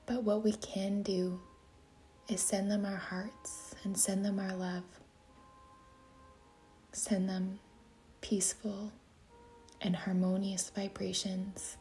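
A woman guides a meditation in a soft, breathy near-whisper at a medium pitch. She speaks very slowly and deliberately, leaving long pauses between short phrases. Her intonation stays flat and even, with a gentle, soothing delivery. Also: faint music behind.

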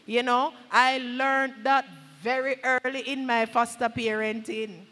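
A woman's voice: a little high, level tone, a little slow, sharp tone. A woman in her thirties with a Jamaican accent preaching a sermon, emphatic yet conversational, slipping into Patois, on a handheld mic in a church hall. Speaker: pastor preaching. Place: large church hall at a pulpit. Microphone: handheld microphone. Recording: echoey hall through PA microphone.

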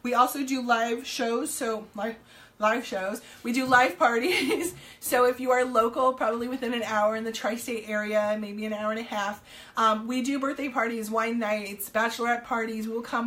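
A woman, slightly hoarse, chatting casually with viewers while selling pearls on a home live stream. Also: a little high, fairly even intonation, moderate pace, a bit bright. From New Jersey, US. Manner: chatty, upbeat, many ums, greets viewers. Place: home room, indoors.

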